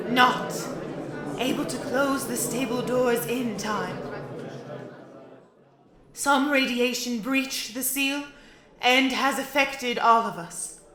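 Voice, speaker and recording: A woman playing a solemn female pony leader in an audio drama, making a halting, grave address. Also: high-pitched. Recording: echoey hall with crowd.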